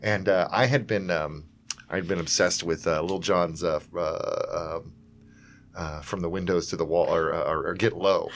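A man's voice: low-pitched, steady tone, moderate pace, a little hiss or hum. A man co-hosting a podcast, rambling with many fillers and laughing asides in casual chat. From Portland, Oregon. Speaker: podcast co-host.

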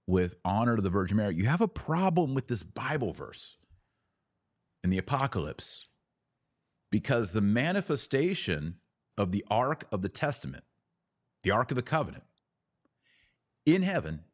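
Low-voiced man, emphatic and animated. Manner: emphatic, rhetorical questions, dramatic pauses. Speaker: podcast host. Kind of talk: theological teaching talk to camera.

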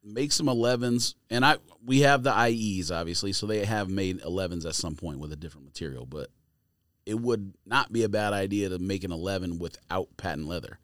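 A man's voice: low-pitched, brisk, fairly bright tone, dry recording, studio quality. A man in casual podcast chat, speaking bluntly and skeptically. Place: podcast studio.

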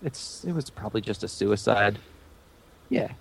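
A woman's voice: rumbling low, lively intonation, normal speed, vocal fry, breathy edge, dry recording. A woman co-hosting a podcast, chatting casually about television in an exclaiming, mock-exasperated, jokey way. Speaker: podcast co-host. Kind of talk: casual TV recap chat with friends.

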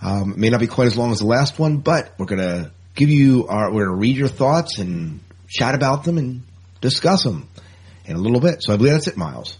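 A man's voice: mid-range voice, sing-song, a little fast, darkish tone, breathy edge. A man hosting a podcast in a casual, informal, easygoing chat style. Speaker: podcast host.

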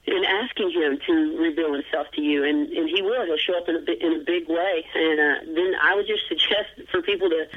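A man with a noticeably high, light voice rambles earnestly through his answers, full of fillers and restarts. He pokes fun at himself as he talks. He comes through a narrow, tinny phone line. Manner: self-deprecating humor. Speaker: guest. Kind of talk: casual interview chat.

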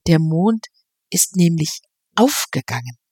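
A nervous, melodramatic young man who pleads breathlessly, as a woman reader voices him. The voice climbs higher as he frets, and the sound stays dry and close. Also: animated, slow pace, warm tone, slightly rough, no echo, exaggerated voice. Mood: wistful. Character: thin, pale, anxious young man, melodramatic.

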